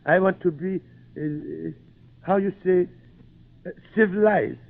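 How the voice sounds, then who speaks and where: A man's voice: high-pitched, takes it slowly, gravelly, exaggerated voice. A man playing a humble, devoted islander servant in an old-time radio drama, speaking simple broken English with words dropped. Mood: earnest, devoted. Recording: tinny narrow-band old radio recording.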